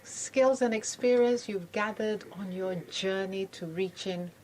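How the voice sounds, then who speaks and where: Slow-talking woman radio host. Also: mid-range voice, a little mellow, slight background noise, poor audio. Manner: encouraging, lots of affirming murmurs. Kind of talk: interview hosting.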